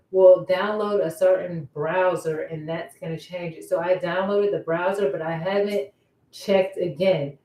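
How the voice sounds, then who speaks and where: A woman's voice: lowish voice, warm tone. A woman talking casually in a personal vlog monologue, circling back over her points and posing rhetorical questions. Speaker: vlogger. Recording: roomy echo, distant microphone.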